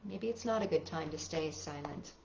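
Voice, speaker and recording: A woman hosting a storytime for children, reading aloud in an expressive, casual way and putting questions to young listeners. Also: low voice, normal speed, creaky, roomy sound, a little hiss or hum. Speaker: storytime host reading picture books to children.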